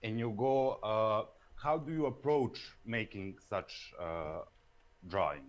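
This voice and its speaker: Low-voiced Serbian man, halting English. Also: lively intonation, highs cut off. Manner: halting, searches for English words. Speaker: caller. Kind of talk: casual call-in questions.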